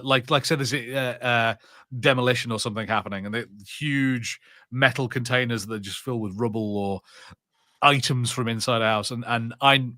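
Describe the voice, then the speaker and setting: A man co-hosting a podcast, telling casual anecdotes in a halting, rambling way and often repeating words. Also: medium pitch, bright, forceful tone, no room sound, studio quality. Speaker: podcast co-host. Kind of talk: casual chat and personal anecdotes.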